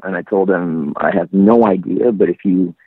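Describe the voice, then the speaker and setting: A man from Iran in his thirties, a photographer, talking reflectively in a casual interview with many pauses and restarts. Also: low-pitched, husky, no echo. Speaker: photographer guest. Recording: tinny phone-line sound.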